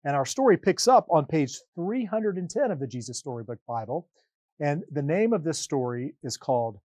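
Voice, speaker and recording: Slow, very lively male storybook reader. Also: fairly high pitch, dry recording, clean studio sound. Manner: dramatic, deliberate phrasing with pauses. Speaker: priest reading a Bible story for children. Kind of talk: expressive children's storybook reading.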